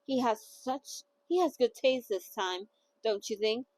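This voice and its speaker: A woman's voice: on the high side, a little slow, a little mellow. A woman reading the lines of a cruel, scheming young man in a smug, sneering manner. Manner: smug, teasing.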